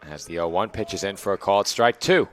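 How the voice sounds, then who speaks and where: Hoarse young man calling baseball. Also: fast talker, slight background noise. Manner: steady, calls each pitch and count. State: hoarse, losing his voice. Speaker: play-by-play announcer. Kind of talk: live baseball play-by-play.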